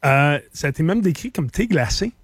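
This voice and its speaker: A man's voice: on the high side, expressive, fairly quick, breathy edge, no room sound, clean studio sound. A middle-aged man whose first language is English, with a slight English accent, chatting casually on the radio as a beer columnist and explaining things in detail. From English-speaking.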